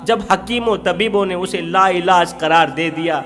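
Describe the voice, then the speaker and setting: A man's voice: high-pitched, normal speed, a bit bright. A man preaching an emotional Islamic storytelling sermon, impassioned and emphatic, repeating phrases for effect.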